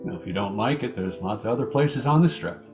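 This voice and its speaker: An actor playing a terse, guarded, tough nightclub owner in an old-time radio drama. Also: male voice, on the low side, expressive, a little fast, natural voice. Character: curt, wary, tough male piano-player nightclub owner. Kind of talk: 1940s radio acting. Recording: piano music behind the voice.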